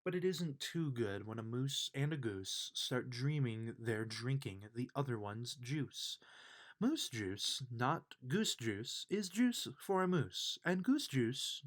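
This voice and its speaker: A man reading a children's bedtime story aloud in an expressive, unhurried way. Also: mid-range voice. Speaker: storybook reader.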